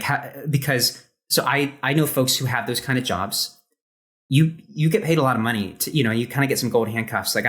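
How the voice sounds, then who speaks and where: An American man hosting a casual interview, speaking informally and haltingly as he repeats words while thinking aloud. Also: mid-range voice, fairly bright tone. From Ohio, United States. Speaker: podcast host, interviewer.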